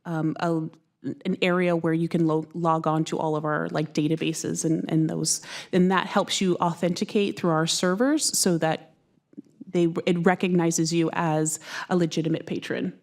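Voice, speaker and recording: A woman's voice: deep voice, level tone, moderate pace, a little mellow. A woman on library staff reporting to a board, hesitant with restarts when answering questions. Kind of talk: public meeting report.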